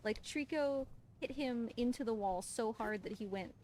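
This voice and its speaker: A woman's voice: on the high side, normal speed, a little mellow. A woman giving casual, chatty and explanatory live commentary as she plays a video game on a stream. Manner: chatty, explanatory, talks to the game creature and viewers. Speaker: speedrunner and livestream host. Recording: clearly noisy, game sound behind.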